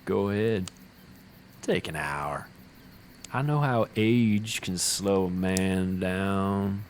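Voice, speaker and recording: A man playing a bitter enemy warlord in a fantasy audio drama, mocking and sly in a deliberate way. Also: deep voice, slight background noise.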